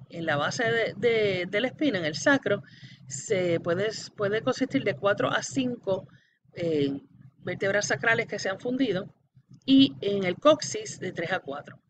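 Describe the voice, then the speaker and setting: A woman lecturer explains material step by step, speaking straight to the student and pausing to check understanding. The recording is muffled and lo-fi, with clear background noise. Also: mid-range voice. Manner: didactic, checks understanding often, addresses the student directly. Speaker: anatomy lecturer. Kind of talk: explanatory classroom-style lecture. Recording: dull lo-fi audio with background noise.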